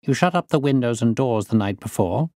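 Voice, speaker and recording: A man voicing a composed gentleman detective in an audiobook, courteous, precise and probing. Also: medium pitch, expressive, fairly quick, no room sound, studio quality, pitched above the performer's own voice, lightly acted. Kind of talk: audiobook character reading.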